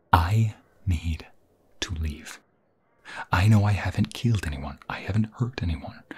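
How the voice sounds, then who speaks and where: Male actor as young vampire fiancé, very breathy and husky, slow halting delivery, anxious, tender, emotional, intimate ASMR roleplay. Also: deep voice, a little mellow, full character voice. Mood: urgent, pleading. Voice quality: breathy, often whispering, husky.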